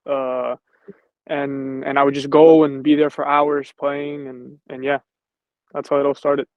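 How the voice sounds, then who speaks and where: A man's voice: medium pitch, little pitch movement, a little slow, no echo, narrow, tinny audio. A man in his twenties from Venezuela, reflecting easily on his football career as an interview guest. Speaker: professional football player, guest. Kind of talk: casual interview.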